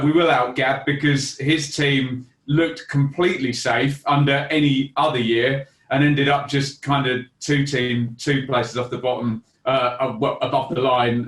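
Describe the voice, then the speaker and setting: A man's voice: medium pitch, fairly bright tone. A male football content creator, a guest in a casual chat, explaining things fluently with vivid comparisons. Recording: echoey room.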